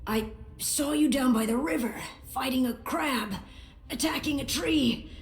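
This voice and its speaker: An actress playing a calm, caring bard companion in a fantasy video game, measured and serious in manner. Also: female voice, sits high. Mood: concerned. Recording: echoey, faint music behind.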